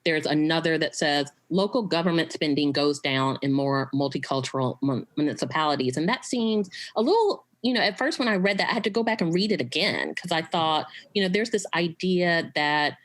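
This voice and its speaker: Low-voiced woman hosting a talk. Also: brisk, few pauses. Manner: chatty, fond of "you know" and "right?". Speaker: host. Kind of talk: conversational interview, online live stream. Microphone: home video call.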